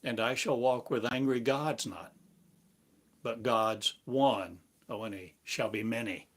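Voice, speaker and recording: A man giving a free-form spiritual sermon, chanting in drawn-out, incantatory phrases for a live stream. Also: medium pitch, fairly bright tone, slightly rough. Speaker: preacher. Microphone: live stream device.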